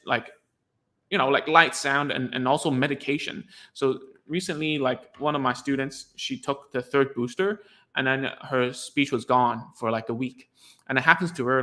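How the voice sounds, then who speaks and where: A man's voice: mid-range voice, bright, forceful tone. A man whose first language is Cantonese, a speech therapist giving frank, rambling casual advice to parents, full of "like" fillers.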